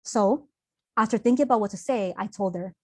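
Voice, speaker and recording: A woman's voice: medium pitch, a little fast, no room sound. Young woman, earnest, conversational, video call audio, dry sound. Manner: earnest, open, conversational with fillers. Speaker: workshop presenter. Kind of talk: online workshop talk.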